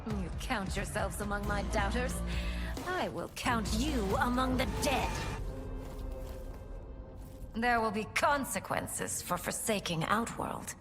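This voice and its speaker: Proud warrior princess played by an actress. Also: female voice, medium pitch, slow, long pauses, natural voice. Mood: defiant, threatening. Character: young warrior princess, proud, cunning, determined. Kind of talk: dramatic video game cutscene acting. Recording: loud music behind the voice.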